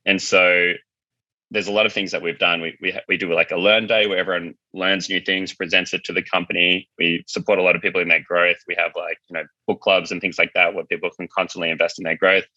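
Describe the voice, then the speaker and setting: A low-pitched man speaks in a forceful, very bright voice. He chats informally as an interview guest, on a dry but slightly dull recording. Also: flat delivery, fast talker, no room sound. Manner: informal, conversational, frequent "like" and "you know". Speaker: company founder being interviewed. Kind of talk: casual interview talk.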